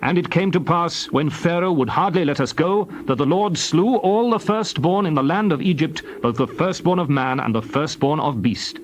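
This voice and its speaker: A man giving a solemn, dramatized scripture reading in a measured, declarative manner. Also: a little high, slight background noise. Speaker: scripture reader.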